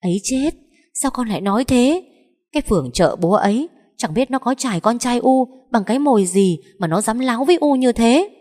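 A woman's voice: on the high side, animated, fairly quick, mellow, in a large hall, voice pushed up. A woman voicing a spiteful older mother-in-law in a storybook, screeching and scolding. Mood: shrill scolding.

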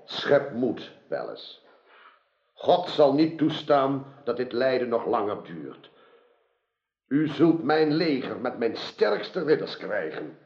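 A man's voice: mid-range voice, big pitch swings, slow pace, a little mellow. An actor playing a noble, reassuring king in a radio drama, solemn and declamatory. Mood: encouraging. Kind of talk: theatrical radio drama acting. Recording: dull, echoey radio play recording.

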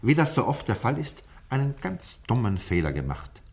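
An actor playing a shrewd, deliberate male police inspector in a vintage radio crime drama. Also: medium pitch, sing-song, gravelly, darkish tone. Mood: revelatory, deliberate.

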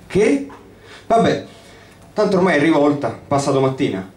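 A man's voice: a little high, very animated, moderate pace, a little mellow. A man reading aloud as an urgent, exasperated, foul-mouthed activist friend talking on the phone in a story. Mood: incredulous, insistent. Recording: roomy live reading, distant mic, guitar behind.

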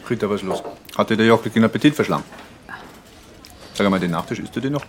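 A flippant, teasing colleague in a radio drama, played by a man. He talks quickly in a low voice, with cutlery and dishes clattering around him. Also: slight background noise, lightly acted. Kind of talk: radio drama acting, casual talk.

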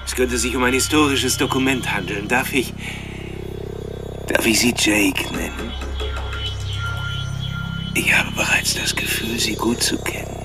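An actor in a radio drama playing a taunting, intellectual serial killer, with a mocking, theatrical way of drawing out phrases. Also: male voice, mid-range voice, loud music behind the voice, lightly acted. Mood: mocking politeness. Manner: mocking, theatrical, mannered, teasing, drawn-out phrasing.

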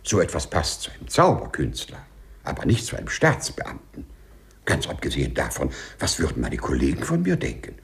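A man's voice: extremely low pitch, wildly swooping pitch, fairly quick, gravelly, husky, heavily acted. An actor playing a timid, overly correct male civil servant with hesitant, formal phrasing in a 1950s radio play. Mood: earnest, anxious.

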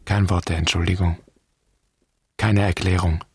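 An actor playing a bitter, brooding son who puts deliberate, poetic questions to his absent dead father in a literary radio drama. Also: sits low, level tone, darkish tone, slightly rough, full character voice. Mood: melancholy, reflective.

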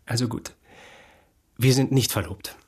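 Husky, bashful young student. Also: male voice, medium pitch, unhurried, a little mellow, far from the mic. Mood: bashful, earnest. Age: young adult. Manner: hesitant, naive, earnest. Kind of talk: German radio drama acting.

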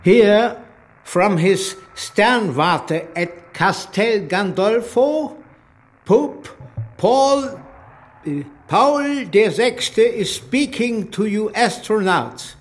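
Slow, halting, ceremonious old Pope. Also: male voice, high-pitched, expressive, full character voice. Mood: solemn, halting. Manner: halting, ceremonious, switching between languages. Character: elderly Pope, halting, ceremonious, addressing astronauts. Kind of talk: radio play acting.

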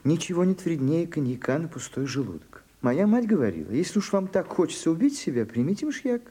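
Fussy male servant-secretary, deferential, nervous, husky voice, lively pitch, prim manner, radio drama. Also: a little fast. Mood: fussy, disapproving. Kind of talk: Soviet radio drama acting.

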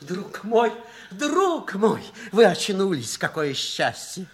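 An actor playing an effusive, excitable older doctor and devoted friend in a 1960s Soviet radio play. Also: male voice, high voice, takes it slowly, breathy edge, heavily acted. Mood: relieved, devoted. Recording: old radio recording, faint music.

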